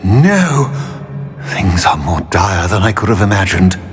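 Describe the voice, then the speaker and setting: An actor playing a grave old wizard in a video game, speaking in a formal, dramatic manner. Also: male voice, sits low, big pitch swings, unhurried, faint music behind. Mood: urgent alarm. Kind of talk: video game character acting.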